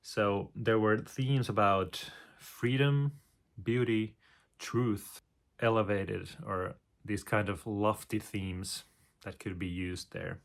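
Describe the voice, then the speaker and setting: A man's voice: lowish voice, steady tone, slow, creaky. A man, a composer explaining his process with many fillers, now and then humming melody lines. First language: Finnish.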